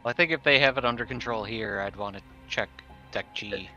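A man's voice: on the low side, fairly quick, bright, forceful tone, soft background music. A man playing a tabletop game, casually talking through plans on a dull recording.

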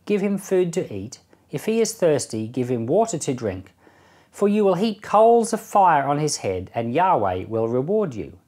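A middle-aged man speaking as a pastor in a measured, explanatory way, reading and explaining scripture. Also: a little high, a little mellow. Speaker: pastor, Bible podcast presenter. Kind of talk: Bible reading and informal commentary. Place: small indoor meeting room, seated.

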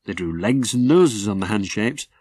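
Low-voiced man reading a children's story. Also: animated, moderate pace, darkish tone. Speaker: author reading his own story. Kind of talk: scripted children's story narration.

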